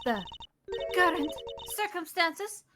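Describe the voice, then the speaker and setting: A woman's voice: very high-pitched, animated, takes it slowly, pitched above the performer's own voice, full character voice. A woman voicing a haughty, combative young prosecutor with a scornful delivery in a comedic game dub. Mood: haughty, challenging. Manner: pompous, scornful. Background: game music.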